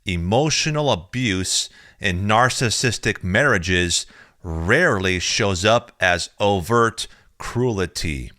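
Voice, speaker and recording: A man reading a chapter of his own book aloud in a slow, measured, deliberate way, recorded close on a studio mic. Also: on the low side, animated, bright, forceful tone, no echo. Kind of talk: audiobook reading.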